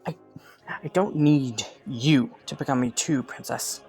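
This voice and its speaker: A man playing an ambitious young prince in a romantic fantasy audio roleplay, with formal, courtly phrasing and soft hesitations, scheming yet earnest. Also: mid-range voice, measured pace, creaky, soft background music. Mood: fondly exasperated.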